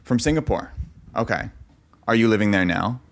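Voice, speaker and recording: A man chats with a job applicant in a casual call. He sounds friendly and loose, stumbling over restarts and fillers. His voice sits a little low. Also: animated, normal speed. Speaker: interviewer posing as an employer. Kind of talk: casual video call conversation.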